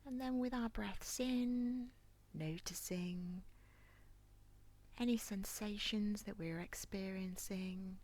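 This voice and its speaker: A woman leading a guided body scan meditation in a soft, near-whispered, breathy voice with a calm, gentle, soothing manner. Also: medium pitch, slow pace. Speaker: guided relaxation instructor.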